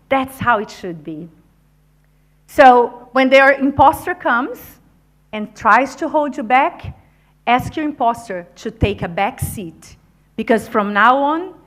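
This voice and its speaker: A woman who is not a native English speaker, giving an encouraging motivational talk to a conference audience. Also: a little high. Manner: energetic, encouraging, addresses audience directly. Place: conference venue.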